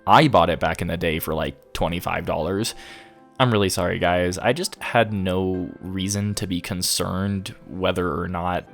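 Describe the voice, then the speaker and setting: A man presenting a video essay as the host, speaking to viewers in a review style. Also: low-pitched. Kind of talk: scripted review narration with occasional casual asides.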